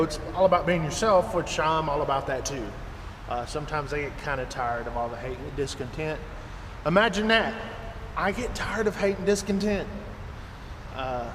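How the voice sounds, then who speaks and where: A folksy American man in his thirties with a fairly high-set voice, rambling casually like a trucker chatting to his viewers. He sounds boxed in by a hard, echoing trailer, with plenty of background noise around him. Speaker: trucker and video blogger. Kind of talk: casual vlog to camera. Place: inside an empty truck trailer, door open. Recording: echoey metal trailer, clear background noise.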